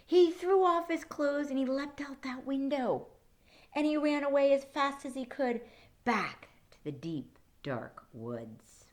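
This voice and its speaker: A woman voicing a caring, earnest young girl who narrates a picture book in a dramatic, expressive way. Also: sits high, a little slow, pitched above the performer's own voice. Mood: alarmed. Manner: dramatic, expressive storybook delivery.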